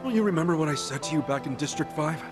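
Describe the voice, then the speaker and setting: An actor playing a loyal, brash street-brawler hero in a video game, heartfelt and rough-edged. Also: male voice, a little high, expressive, quick pace, lightly acted. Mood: earnest reassurance. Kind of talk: dramatic video game cutscene acting. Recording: music under the voice.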